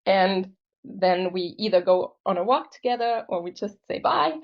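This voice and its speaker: A woman's voice: medium pitch, expressive, normal speed. A young woman casually sharing a personal story on a video call. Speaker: program participant telling her story. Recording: slightly dull video-call audio.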